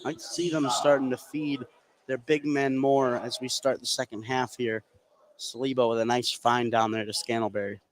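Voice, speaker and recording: A man's voice: medium pitch, steady tone, moderate pace, slight background noise, rough recording. A man giving conversational color commentary and analysis during a live basketball broadcast from a gym. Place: at courtside in an indoor gymnasium.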